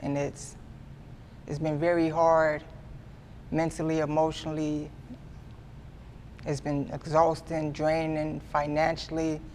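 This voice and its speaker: A man in his twenties giving a candid sit-down interview, earnest and halting, often repeating himself as he restarts. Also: a little high, completely flat delivery, a little mellow, slight background noise. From Midwestern US. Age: 20s. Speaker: guest artist.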